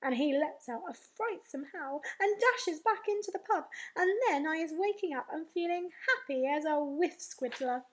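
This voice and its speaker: A woman voicing an excitable, boastful young boy telling his dreams in wide-eyed, ungrammatical speech for a storybook. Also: sits high, normal speed, few pauses, low-quality recording. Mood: wondering, gleeful.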